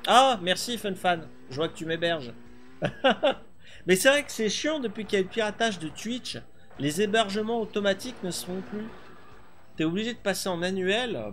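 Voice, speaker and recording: A man's voice: high voice, expressive, normal speed, fairly bright tone, a little hiss or hum. A middle-aged man streaming a rally game from a small room, chatting casually and thinking aloud with many fillers. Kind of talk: live gameplay chat.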